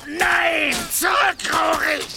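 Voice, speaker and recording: An actor in a German audio drama playing a cruel, volatile medieval warlord who menaces his men with theatrical, drawn-out delivery. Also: extremely high pitch, fairly even intonation, creaky, crowd noise, background noise, heavily acted. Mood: cold, scheming. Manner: menacing, theatrical, drawn-out, swinging from hushed to shouting.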